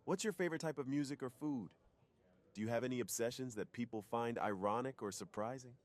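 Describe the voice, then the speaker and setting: A man acts as a secretive superhero off duty, with a mid-pitched voice. He is polite and earnest, confiding shyly and intimately in an ASMR roleplay. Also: fairly quick, close to an everyday voice. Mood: apologetic, friendly.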